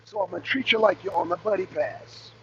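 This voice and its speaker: Raspy high-voiced man ranting. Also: fairly quick, muffled recording, slight background noise. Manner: blunt and profane, calling out rivals. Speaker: host. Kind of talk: casual rant to a live audience. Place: in his truck. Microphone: phone camera.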